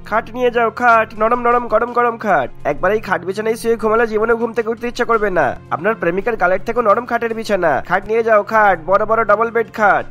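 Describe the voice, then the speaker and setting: A brash street bed seller from a cartoon, voiced by a man, high-pitched and quick. He has a salesman's curt, defensive way of talking. Faint music plays behind him. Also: sharp tone. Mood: hawking. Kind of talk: Bengali cartoon acting.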